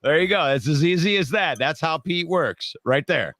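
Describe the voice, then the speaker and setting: A man's voice: a little high, animated, moderate pace, heavy background noise. A man co-hosting a casual sports talk show over a video call, laid-back and stammering at times. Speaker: co-host.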